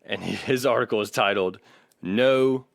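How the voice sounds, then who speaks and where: A man's voice: on the low side, normal speed, gravelly, dry recording, clean studio sound. A man co-hosting a casual podcast, reading from articles and arguing his points in an opinionated way. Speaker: podcast co-host.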